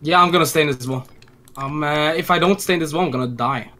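A man's voice: fairly high pitch, fast talker, pushed and bright, slight background noise. A man hesitantly stammering through a casual voice chat while playing a strategy game. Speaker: player in a multiplayer strategy game.

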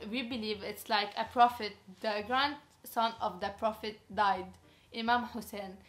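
A young woman, a native Arabic speaker, chatting casually as a vlog guest and explaining things in simple, halting English in a bedroom. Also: mid-range voice, fairly even intonation, faint music behind. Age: young adult. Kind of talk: casual chat. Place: bedroom, indoors.

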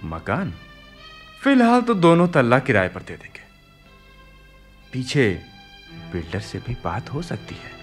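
An actor playing a practical, persuasive younger brother in a family radio play, reasoning and coaxing. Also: male voice, medium pitch, sing-song, unhurried, pauses often, faint music behind. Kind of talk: Hindi radio play acting.

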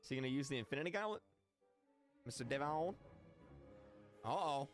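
A young man in his twenties reacts casually into a close mic over faint music. His pitch moves around a lot, and he jokes in slangy, repeated phrases with an excitable manner. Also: a little fast. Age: 20s. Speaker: reaction video host. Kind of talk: casual jokey reaction commentary. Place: indoor room studio with green screen. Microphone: close microphone on boom arm.

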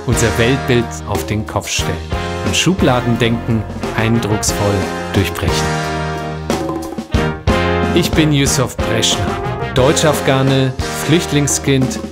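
A man's voice: medium pitch, slow pace, over loud music. A man hosting a podcast, reading a scripted intro then interviewing his guest in a polite, formal, carefully phrased way. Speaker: podcast host.

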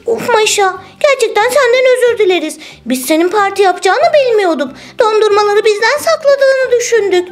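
A woman's voice: animated, moderate pace, few pauses, faint music behind. A woman voicing a cheeky, greedy little girl doll in a children's toy story, with a childlike, exclaiming delivery. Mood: apologetic. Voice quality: high, childlike.

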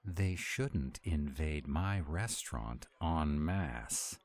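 An actor playing a grumpy, anxious male were-dragon in an audio roleplay, gruff and exasperated in his drawl. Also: rumbling low, lively intonation, extremely slow, darkish tone, exaggerated voice. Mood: panicked grumbling.

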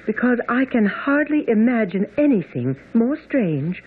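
Animated, earnest Victorian gentlewoman. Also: fairly high pitch, measured pace, slightly rough, full character voice. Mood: earnest, troubled. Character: earnest, puzzled young Victorian gentlewoman seeking help. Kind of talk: radio drama acting. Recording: tinny, phone-like old radio broadcast.

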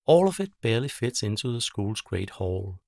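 A man with a lowish, creaky voice narrates a children's storybook. He reads in short, simple phrases, recorded very dry and close. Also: very animated, normal speed, dark tone, no echo.

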